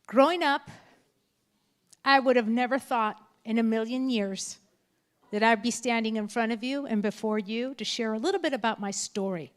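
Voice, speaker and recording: A woman in her late fifties, Spanish her first language, speaks candidly from a school assembly stage. She talks straight to the crowd with frank, lively energy, in a voice a touch higher than most. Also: normal speed. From California, United States. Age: 50s. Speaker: keynote speaker. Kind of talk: candid motivational speech.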